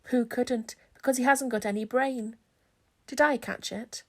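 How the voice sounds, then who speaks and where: A woman's voice: a little high, steady tone, a little slow. A woman voicing a trusting, curious young boy in a children's storybook, with a simple, eager, questioning manner. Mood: curious, wistful.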